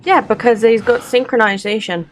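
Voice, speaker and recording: High-voiced woman gamer reacting casually. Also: steady tone, normal speed. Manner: sympathetic, chatty. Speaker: gaming video creator.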